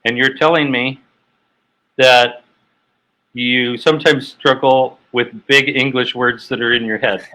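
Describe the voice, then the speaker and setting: A man's voice: mid-range voice, steady tone, measured pace, bright, forceful tone, poor audio. An American man hosting a podcast, asking long, winding interview questions. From United States. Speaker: podcast host.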